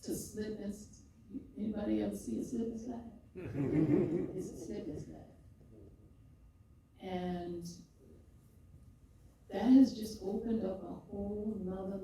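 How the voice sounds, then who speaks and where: An older woman, a poet and podcaster, telling her story in an expressive, rhythmic way and often laughing, before a live audience. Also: medium pitch, slow pace, slight background noise. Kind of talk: reflective conversational storytelling. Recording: echoey hall, mic far off.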